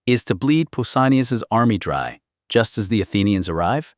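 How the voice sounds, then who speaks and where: A man voicing a cold, calculating young prince in an audiobook, intense and measured. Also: lowish voice, expressive, no room sound, studio quality, lightly acted. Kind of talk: audiobook character dialogue.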